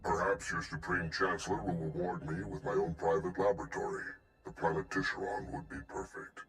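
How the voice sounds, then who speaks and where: Deep, gravelly, pompous male scientist. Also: fairly even intonation, fairly quick, a bit bright, faint music behind. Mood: haughty, precise. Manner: pompous, haughty. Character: vain, pompous male scientist and weapons designer. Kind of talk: video game voice acting.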